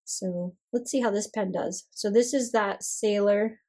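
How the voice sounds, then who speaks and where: A woman casually chatting through an ink swatching session at her desk, relaxed and self-deprecating, her voice a little hoarse from a cold. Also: mid-range voice, measured pace. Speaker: stationery vlogger.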